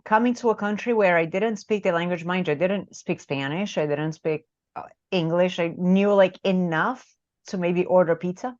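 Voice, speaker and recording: A woman's voice: on the low side, moderate pace, a little mellow, dry recording. A woman from Brazil with a Brazilian Portuguese accent, a therapist guest speaking reflectively and often adding "right?". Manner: reflective, tag questions like "right?". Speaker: marriage and family therapist, guest author. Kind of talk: casual interview talk. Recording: dull, dry lo-fi call audio.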